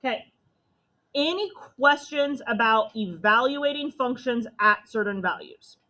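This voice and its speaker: A young woman with a loud voice, teaching a math class step by step. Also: on the high side, expressive, unhurried. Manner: step-by-step explaining to students.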